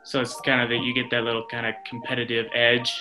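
A man's voice: mid-range voice, completely flat delivery, fast, rough recording. An American man in his thirties doing a casual sports interview as a radio announcer over a video call. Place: small office, indoors, on video call. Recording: video call with music underneath. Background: music.

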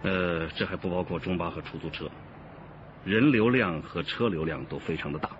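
An actor playing a steady, commanding, patient male police detective chief in a Chinese radio crime drama. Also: low-pitched, normal speed, noisy background, natural voice. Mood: grave briefing. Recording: muffled band-limited audio with music behind.

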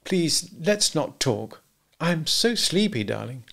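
A male narrator plays a cool, unrepentant wife. The voice is lifted and soft, sweetly placating yet dismissive and taunting, in a dramatised storybook style. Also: on the high side, sing-song, fairly quick, voice pushed up.

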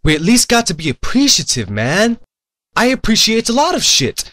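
A man's voice: high-pitched, huge pitch swings, moderate pace, a bit bright, full character voice. A man voicing a cocky, smug young water mage in a comic game parody dub, with a sarcastic, swaggering delivery. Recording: music under the voice.

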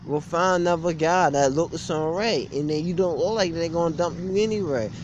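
A man's voice: a little high, a little fast, highs cut off, a little hiss or hum. A young man giving a blunt, repetitive, aggrieved rant to camera in an informal way, recorded outdoors on a handheld device. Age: young adult. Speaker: gym vlogger. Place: outdoors beside a brick building on a street. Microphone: phone or webcam at arm's length.

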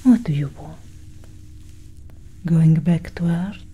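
Female alien abductor for sci-fi ASMR roleplay, played by a woman: very breathy whisper, unhurried, condescending yet curious, close to the mic. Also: low voice, soft background music, heavily acted. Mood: coaxing, commanding. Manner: slow, soft, condescending, intimate. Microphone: close microphone.

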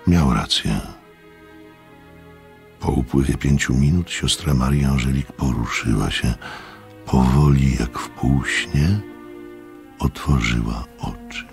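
A composed storyteller in a radio play. His voice is exceptionally deep with a gravelly creak. He narrates slowly and soberly, with faint music around the words. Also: exaggerated voice. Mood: sober, measured. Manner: measured, sober. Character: composed gentleman narrator recalling a strange experiment.

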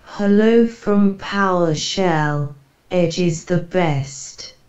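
British female text-to-speech voice, mechanical, a little low in pitch, muffled lo-fi playback. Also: extremely slow, a little mellow. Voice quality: robotic, mechanical. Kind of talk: synthetic text-to-speech readout.

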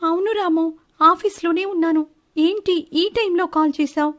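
A woman's voice: extremely high pitch, normal speed. A woman voicing a deceitful female bird wife in a cartoon story, defensive and protesting. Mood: feigned innocence. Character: Chinnu, deceitful married female bird, indignant and evasive. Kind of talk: animated Telugu moral-story dialogue.

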